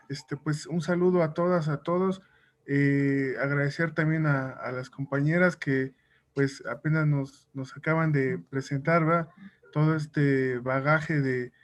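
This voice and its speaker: Hesitant man on dull video call. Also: medium pitch. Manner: hesitant, many fillers. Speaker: cultural promoter. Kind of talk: panel presentation on a video call.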